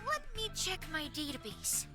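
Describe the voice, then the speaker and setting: A woman voicing an excitable young game character in short clips, quiet under game music. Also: high-pitched, moderate pace.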